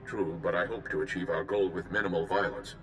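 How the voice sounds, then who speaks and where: A male actor as a calm Jedi mission leader in a video game. His voice is low, his phrasing formal and measured. Faint music plays behind him. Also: natural voice, low-quality recording. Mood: courteous, grave.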